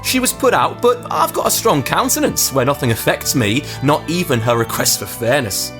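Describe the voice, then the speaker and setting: Man acting a smug, animated funeral director. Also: a little high, normal speed, over music. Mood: smug, jokey. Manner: self-satisfied, pompous, joking. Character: smug, vain 1980s male funeral director. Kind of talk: comic radio advert monologue.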